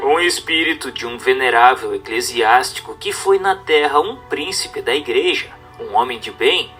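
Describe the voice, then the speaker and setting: A man narrates a formal religious text over background music. His voice is bright, with widely moving intonation. The delivery is solemn and instructive, clearly read from a script. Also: medium pitch, expressive, moderate pace.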